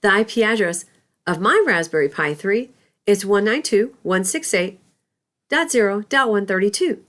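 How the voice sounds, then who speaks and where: A woman's voice: mid-range voice, lively intonation, normal speed, a bit bright. A woman instructor reading a scripted tutorial in a careful, instructional way.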